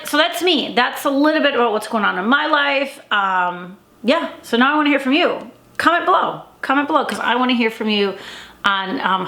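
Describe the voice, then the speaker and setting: A woman's voice: medium pitch, fairly bright tone. Chatty woman vlogger in an echoey room. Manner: rambling, many fillers and restarts. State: a little stuffy, congested. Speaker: vlogger and coach. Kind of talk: casual daily vlog.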